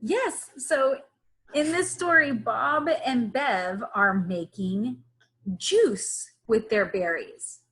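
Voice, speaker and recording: A woman teacher giving a read-aloud lesson to young children, pausing to ask them questions in an instructional way. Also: a little high, fairly bright tone.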